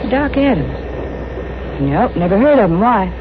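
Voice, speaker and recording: A noisy, tinny vintage radio recording carries a lonely frontier woman. Her voice is mid-pitched. She speaks plainly and folksily, hospitable yet worn down by a hard life. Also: big pitch swings, unhurried. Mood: wistful, friendly. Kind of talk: old-time radio western acting.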